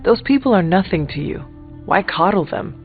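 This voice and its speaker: Grave, higher-pitched warrior hunter. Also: male voice, lively intonation, moderate pace. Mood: reserved. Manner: formal, uncontracted, solemn speech. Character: grave, stern, uncompromising warrior hunter, adult. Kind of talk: video game character dialogue. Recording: thin phone-like sound, music behind.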